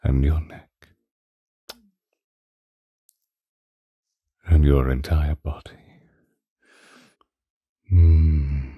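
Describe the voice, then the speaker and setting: A man playing a possessive, doting ancient vampire lover in a breathy, intimate ASMR roleplay, close to the microphone. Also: fairly even intonation, dry recording, narrow, tinny audio, exaggerated voice. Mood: hungry, seductive. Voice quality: very deep, dark, husky, breathy, often whispering. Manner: slow, intimate, old-fashioned romantic wording. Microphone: close microphone, dry.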